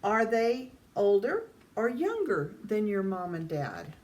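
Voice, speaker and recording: An older woman reads a children's picture book aloud in a deliberate way, addressing young listeners. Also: medium pitch, big pitch swings, a little mellow. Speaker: storytime reader. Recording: distant mic, faint background noise.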